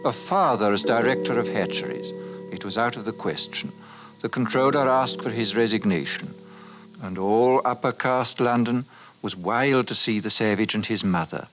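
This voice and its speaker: A man narrating a 1950s radio drama in a formal, measured, wry way. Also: on the low side, lightly acted, low-quality recording. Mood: dry, measured. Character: male narrator of a dystopian future story. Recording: old radio broadcast with music underneath.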